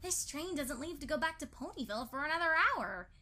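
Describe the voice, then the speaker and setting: A woman playing a gentle, motherly young female pony, patient in manner, in an amateur audio drama. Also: high-pitched, expressive, brisk. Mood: reassuring.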